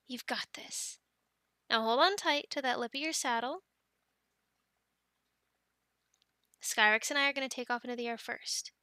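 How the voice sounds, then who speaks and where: A woman playing a friendly young Viking dragon-riding instructor in an ASMR roleplay, coaching the listener with reassurance and gentle teasing. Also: high voice, no echo, clean studio sound, full character voice. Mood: patient, reassuring. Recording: loud ambient sound effects behind voice. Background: loud ambience sound effects.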